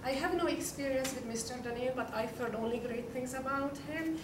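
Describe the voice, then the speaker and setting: High-voiced parent woman, echoey hall. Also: a little fast. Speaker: parent speaking at public comment. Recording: distant mic, echoey room, noisy.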